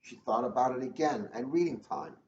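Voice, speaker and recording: A man's voice: mid-range voice, a little fast, darkish tone. A man reading a children's book aloud as a police officer, chatting and joking at his own expense in casual asides. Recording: echoey room, dull lo-fi, faint noise.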